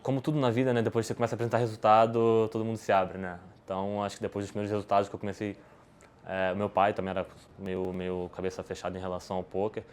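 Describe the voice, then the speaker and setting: A man's voice: fairly low pitch, level tone, racing. A Brazilian man, a podcast guest, answering questions in casual talk, rambling with many restarts and tag questions. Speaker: podcast guest, poker player.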